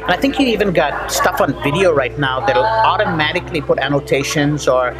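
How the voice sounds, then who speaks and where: A man's voice: on the high side, animated, brisk, poor audio. Chatty, effusive male interviewer. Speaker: interviewer and marketer. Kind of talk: casual interview chat. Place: indoors on a couch. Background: background music.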